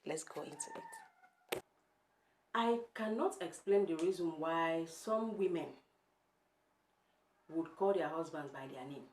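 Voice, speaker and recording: A woman's voice: low voice, wildly swooping pitch, slow, pauses often, a little mellow, a little hiss or hum. A young woman with a Nigerian Igbo accent, talking straight to her viewers in a casual opinion vlog and firing emphatic rhetorical questions at them. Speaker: vlogger. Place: home room. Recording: echoey room.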